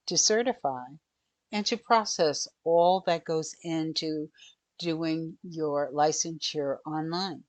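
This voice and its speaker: A woman's voice: low-pitched, no room sound. A woman giving a prepared introduction to her role as a volunteer team leader. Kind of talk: prepared presentation.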